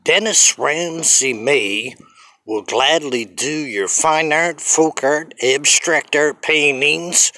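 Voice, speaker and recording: Slow gravelly older man vlogging outdoors. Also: a little high, bright, forceful tone, no room sound. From Canada. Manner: slow, drawling, repetitive self-promotion. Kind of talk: rambling selfie vlog. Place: outdoors on a sunny hillside street. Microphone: phone at arm's length.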